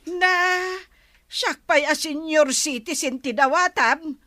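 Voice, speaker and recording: A woman's voice: sits high, slow pace, sharp tone, dry recording, studio quality, heavily acted. A woman acting as a weary elderly mother on a pension, nagging and plaintive, in an Ilocano comedy drama, with a Filipino accent. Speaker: actress in an audio comedy drama.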